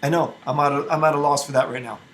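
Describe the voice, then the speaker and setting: A man from Albania debates in a casual meeting. His voice sits high, and he presses his point emphatically. He restarts his sentences as he argues, with a faint hiss behind him. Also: fairly even intonation, fast. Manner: emphatic, argumentative, with frequent restarts. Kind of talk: casual group discussion.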